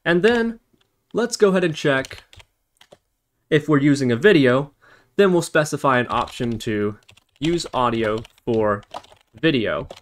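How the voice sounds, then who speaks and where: A man's voice: medium pitch, lively intonation, a little slow, long pauses. A man narrating a step-by-step programming screencast as an instructor, explaining and thinking aloud as he works.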